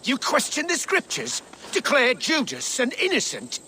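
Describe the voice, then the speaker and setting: A man's voice: very high voice, wildly swooping pitch, normal speed, pushed and bright, slight background noise, heavily acted. An actor playing a pompous, indignant churchman in a video game, accusing in manner. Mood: outraged. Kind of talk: video game cutscene acting.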